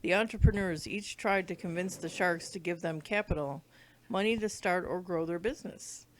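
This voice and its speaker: A woman's voice: fairly low pitch, moderate pace, slight background noise, rough recording. A woman reading a children's book aloud, now and then stumbling over words and rereading them. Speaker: read-along reader.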